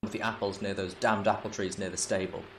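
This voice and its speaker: A man's voice: on the low side, little pitch movement, a little fast, close to an everyday voice. A man voicing a desperate pony pleading for help in a radio broadcast, weary and subdued, in an audiobook. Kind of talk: emergency radio message in an audiobook. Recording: clearly noisy, echoing radio-style sound.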